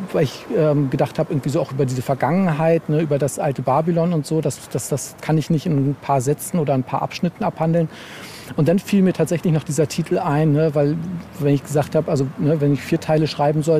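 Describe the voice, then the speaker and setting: A man talks in a mellow, slightly higher voice, as a guest in a casual interview. He rambles along and keeps checking in with short tag words. Faint ambient noise sits behind him. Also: fairly even intonation, quick pace. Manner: rambling, tags phrases with "ne". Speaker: interviewed novelist. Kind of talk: casual podcast interview chat.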